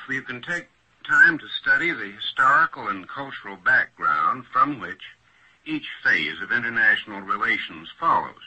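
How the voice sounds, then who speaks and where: An American man speaking as an academic panelist in a 1940s radio discussion, measured, formal and articulate. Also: fairly low pitch, lo-fi sound. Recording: noisy old radio recording.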